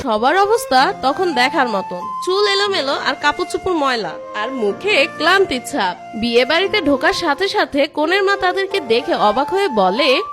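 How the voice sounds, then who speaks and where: A woman's voice: high-pitched, big pitch swings, moderate pace, few pauses. A woman reading scripted narration for a cartoon story. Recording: music behind the voice.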